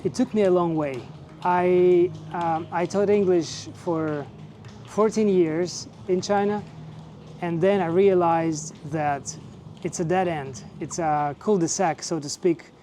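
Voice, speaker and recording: A man in his forties from Russia, speaking English, telling his own story in a relaxed, measured way with fillers. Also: high voice, soft background music. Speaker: vlogger and teacher. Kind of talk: casual personal storytelling.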